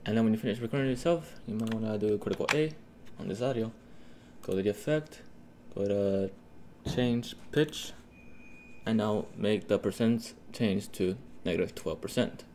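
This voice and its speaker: A man hosting a casual step-by-step software tutorial, talking informally and a little haltingly, as a host guiding viewers. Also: on the low side. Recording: steady noise behind the voice.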